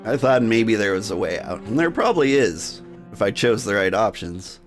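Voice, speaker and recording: Male gamer, mid-pitched, talking casually through a narrative game with music behind. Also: animated, moderate pace. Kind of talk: game playthrough with dramatic read-aloud and casual asides.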